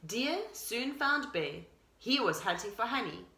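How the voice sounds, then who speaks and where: Female storyteller for small children, expressive and explanatory, highish lilting voice with room echo. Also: measured pace. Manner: animated, explanatory. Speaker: pre-primary teacher, storyteller. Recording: roomy echo.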